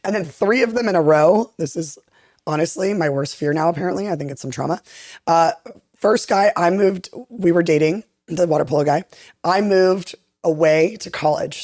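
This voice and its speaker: A young woman in her twenties with a deep voice, chatting candidly and rambling on a podcast, filling her talk with "like". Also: darkish tone, dry recording, clean studio sound. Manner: candid, rambling, heavy use of "like". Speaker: interviewee. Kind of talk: casual podcast chat.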